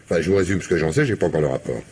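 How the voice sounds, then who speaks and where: A man acting a brisk, weary, wry police commissioner in a French radio crime drama, with a French accent and blunt colloquial delivery. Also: deep voice, animated, a little mellow, a little hiss or hum.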